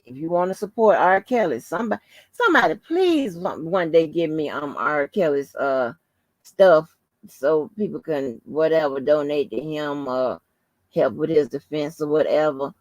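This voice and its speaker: A woman hosting a casual livestream, rambling informally to her viewers in a soft, calming voice. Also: low voice, moderate pace, no room sound. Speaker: livestream host.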